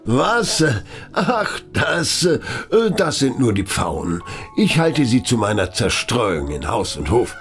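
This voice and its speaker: A man's voice: medium pitch, big pitch swings, fairly bright tone, soft background music, heavily acted. A man acting a wealthy, genteel and effusive art collector in a German audio play, pompous and hesitant in his delivery. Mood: evasive. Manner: pompous, hesitating, drawn-out exclamations and chuckles.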